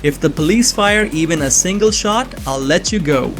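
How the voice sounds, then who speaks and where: A man's voice: a little high, animated, normal speed, a bit bright, faint music behind. Young man narrating a tense story. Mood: defiant. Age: young adult. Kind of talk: scripted first-person narration.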